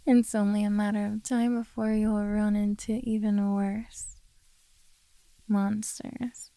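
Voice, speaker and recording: Woman acting a soft, slow, mellow beastkin monster girl. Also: medium pitch, little pitch movement, no room sound. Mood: coaxing, possessive. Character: possessive, coaxing female beastkin monster girl of the woods. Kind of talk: soft, slow ASMR roleplay.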